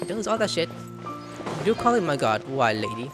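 Animated, playful male game streamer. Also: a little high, brisk. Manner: playful, slangy, exclaiming. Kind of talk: casual gameplay commentary. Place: indoor room, webcam gaming setup. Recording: game music behind the voice.

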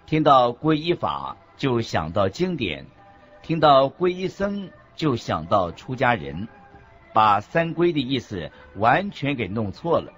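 A man's voice: medium pitch, sing-song, unhurried, a bit bright, breathy edge. A man reading a scripted religious teaching as the narrator of a radio lecture. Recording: dull lo-fi audio with background music.

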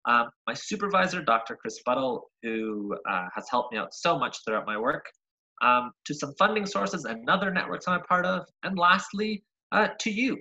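A young man from Argentina giving an online science seminar over a video call, lively and full of ums and uhs, often restarting words. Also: fairly high pitch, moderate pace, lo-fi sound. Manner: lively, frequent ums and uhs, self-correcting. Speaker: graduate researcher giving a seminar. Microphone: webcam on a video call.

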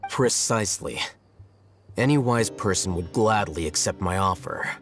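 An actor playing a cool, self-assured young scholar in a video game, measured, dry and articulate. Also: male voice, low-pitched, lightly acted. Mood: calm persuasion. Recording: faint music under the voice.

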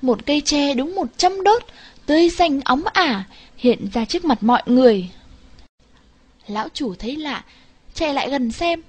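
High-voiced woman storyteller. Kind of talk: scripted fairy tale narration.

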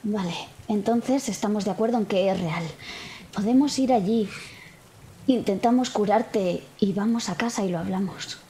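A woman's voice: medium pitch, moderate pace, warm tone, a little hiss or hum. A woman with a Spain accent improvising conversational in-character dialogue as a player in a tabletop role-playing game.

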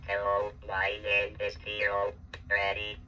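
A synthesized male voice speaking as a small, polite, earnest robot pet in a stilted monotone. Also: on the low side, slow. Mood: flat, polite. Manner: stilted, flat machine delivery. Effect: vintage robot speech synthesizer. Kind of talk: vintage speech-synthesizer robot voice. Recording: distant, noisy workshop recording.

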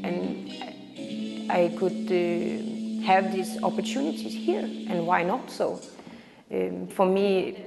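A young woman with a Danish accent, a circus artist speaking in a reflective personal interview. She talks haltingly and searches for words in English, which is not her first language. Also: on the low side, creaky. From Denmark. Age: young adult. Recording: reverberant with background music.

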